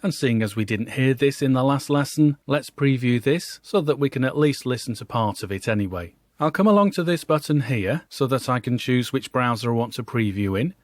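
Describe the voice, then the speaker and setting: A man giving an instructional software walkthrough, explaining step by step in a conversational way. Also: medium pitch, lively intonation, a little fast, hardly any pauses, no echo, studio quality.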